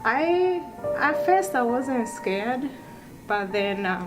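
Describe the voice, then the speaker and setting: A young woman from Rwanda telling her life story in an interview, soft-spoken and halting, often restarting her sentences. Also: on the high side, big pitch swings, rough recording. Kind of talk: personal testimony interview. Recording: music bed underneath.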